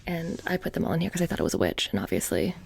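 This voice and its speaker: A soft-spoken woman doing close, whispery ASMR roleplay as a witch shopkeeper, with a casual, halting, deadpan menace. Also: low-pitched, gravelly, breathy edge.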